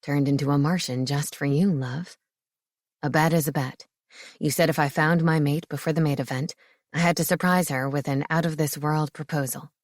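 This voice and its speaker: A woman doing a low-voiced tender male lover. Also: steady tone, moderate pace, no room sound, clean studio sound, close to an everyday voice. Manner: low, soft, affectionate. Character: adult male shifter, tender, teasing lover.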